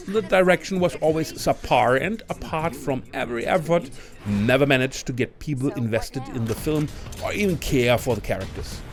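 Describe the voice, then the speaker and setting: A man's voice: mid-range voice, darkish tone. A man hosting a countdown video, reading a scripted film review as narrator. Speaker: video list host and narrator.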